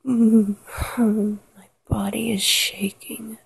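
A woman whispering an intimate, sensual erotic monologue to the listener in a breathy, drawn-out way. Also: on the high side, little pitch movement. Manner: slow, sensual, drawn-out delivery with soft moans.

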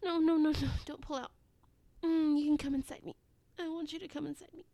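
Woman acting out a high, creaky, intimate lover. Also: steady tone, measured pace, heavily acted. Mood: aroused, breathless. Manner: breathy, intimate, often slipping into whispers. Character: amorous, playful adult woman at an office party. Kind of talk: intimate erotic audio roleplay.

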